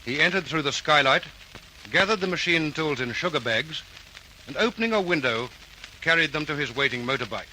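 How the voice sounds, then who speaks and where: A man's voice: a little high, expressive, a little fast, bright, forceful tone, poor audio. A man reading formal, earnest narration for an old radio crime drama. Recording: old radio recording with hiss.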